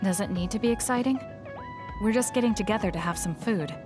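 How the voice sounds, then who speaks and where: Aloof young female soldier, actress performing, anime game dub, medium pitch, blunt and unruffled, music behind. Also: fairly quick, natural voice. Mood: cool, matter-of-fact.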